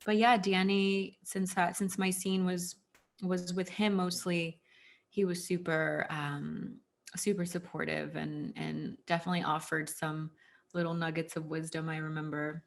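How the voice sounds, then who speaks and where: A woman's voice: lowish voice, level tone, a little slow, creaky. A woman in her thirties from Texas telling personal stories casually as an interview guest, chatty, with plenty of "like" and "you know". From Houston, Texas. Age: 30s. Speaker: interview guest, former actress. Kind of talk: casual conversational storytelling.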